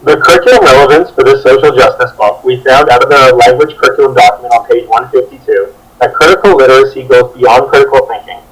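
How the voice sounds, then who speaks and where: A man's voice: mid-range voice, fast talker, few pauses, poor audio. A young adult man reading out a scripted presentation as a student teacher. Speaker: student teacher presenting a children's book project.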